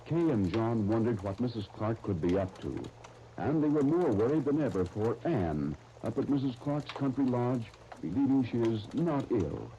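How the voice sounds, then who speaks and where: A man announcing and narrating in scripted 1930s radio style, with a polished, measured delivery. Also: mid-range voice, mellow. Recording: muffled, noisy 1939 radio disc recording.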